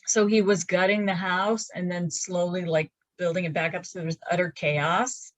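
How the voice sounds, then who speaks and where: A woman's voice: on the low side, flat delivery, normal speed, dry recording. Chatty woman author on a video call. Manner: chatty, rambling with frequent fillers and restarts. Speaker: romance author, guest. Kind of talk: casual video-call interview. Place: laundry room at home. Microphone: webcam on a video call.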